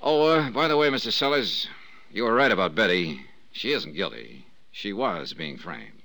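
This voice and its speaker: A man's voice: sits low, sing-song, moderate pace, sharp tone, full character voice. An American man playing a sardonic, hard-boiled insurance investigator who needles and probes, in 1950s radio drama style. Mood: cool, needling. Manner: sardonic, probing. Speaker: lead actor of a detective series.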